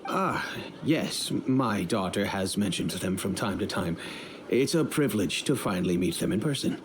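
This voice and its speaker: A man playing a grave, low-voiced family patriarch. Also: animated, a little hiss or hum, natural voice. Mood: solemn. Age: middle-aged. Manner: measured, solemn, storytelling. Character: grave wealthy middle-aged father, family head. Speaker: head of a noble family. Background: game music.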